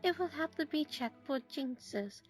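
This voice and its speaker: A high-pitched, stern older woman teacher, acted by a woman, with music underneath. She speaks in a clipped, formal, authoritative way, as in an audio drama. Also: expressive, unhurried. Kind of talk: fan audio drama acting.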